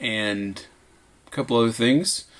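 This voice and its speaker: A man's voice: medium pitch, unhurried, fairly bright tone. A man in his late twenties with a Southern American accent, co-hosting a casual podcast from a home man cave, easygoing and self-deprecating. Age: late 20s. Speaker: podcast co-host, teacher. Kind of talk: casual chat.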